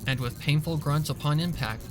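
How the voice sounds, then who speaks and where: A man's voice: a little high, brisk, over music. A man reading scripted dramatic story narration as the narrator of an audio drama.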